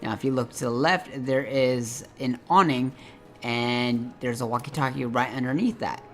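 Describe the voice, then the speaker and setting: A man's voice: medium pitch, steady tone, moderate pace, fairly bright tone, soft background music. A man hosting a game guide, giving directive, step-by-step walkthrough commentary.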